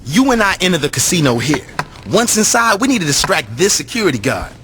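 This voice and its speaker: A brash male gang lieutenant for a crime game, scheming and foul-mouthed. His voice is fairly high and very animated, with a bright, forceful edge. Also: a little fast, slight background noise. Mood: confident, scheming. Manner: profane, explanatory.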